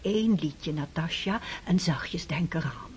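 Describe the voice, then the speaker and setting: An actress playing a gracious, gently fussing middle-aged mother and countess in a radio drama. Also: low-pitched, takes it slowly, husky, exaggerated voice. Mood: gracious, chiding. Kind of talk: Dutch radio drama acting.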